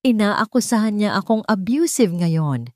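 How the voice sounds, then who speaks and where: A woman's voice: mid-range voice, very animated, moderate pace, a little mellow, no room sound, studio quality, natural voice. A woman with a Filipino accent voicing a harried, desperate millionaire father in an audio story, terse and strained.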